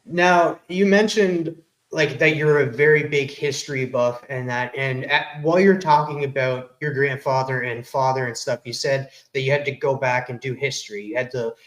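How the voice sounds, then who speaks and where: A man's voice: mid-range voice, steady tone, moderate pace, few pauses, fairly bright tone, poor audio. A man hosting an interview, asking long, winding questions with fillers. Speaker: podcast host.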